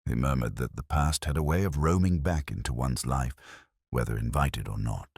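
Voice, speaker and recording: Very deep, measured male storyteller. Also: darkish tone, breathy edge, dry recording, clean studio sound. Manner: measured, solemn, literary delivery. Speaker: narrator. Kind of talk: scripted first-person detective story narration.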